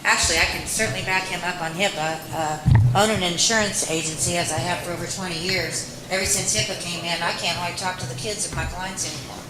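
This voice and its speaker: Woman moderator, lowish voice, informal, distant mic in a reverberant room. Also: fairly even intonation, quick pace, few pauses. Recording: echoey room from far off with noise.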